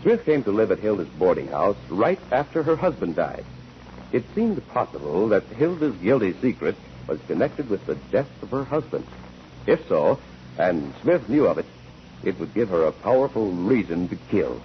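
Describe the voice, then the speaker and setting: An American actor playing a sharp, methodical courtroom investigator in a 1940s radio drama, precise and probing. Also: male voice, lowish voice, very animated, normal speed. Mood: explaining. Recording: dull, noisy 1949 radio recording.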